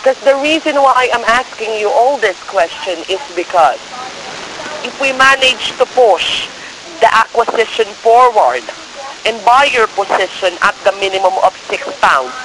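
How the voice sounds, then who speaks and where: A man with non-native English phrasing giving a persistent, partly scripted telephone sales pitch, often checking that the listener follows. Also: expressive, moderate pace, a crowd in the background. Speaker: cold-call share scammer posing as broker. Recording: noisy phone call with crackly hiss.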